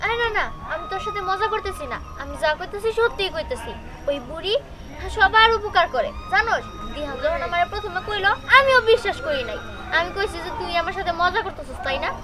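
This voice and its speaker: A woman's voice: extremely high pitch, expressive, a little fast, a crowd in the background. A woman voicing a chatty, helpful young village girl who explains things reassuringly in a Bengali cartoon. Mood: eager explaining.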